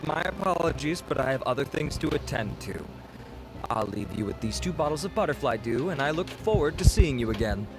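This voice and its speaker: A man's voice: mid-range voice, very animated, a little fast, over music, poor audio. A man playing a courteous, vain beauty researcher in an anime game, speaking in a polite, self-assured, formal way. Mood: earnest, polite.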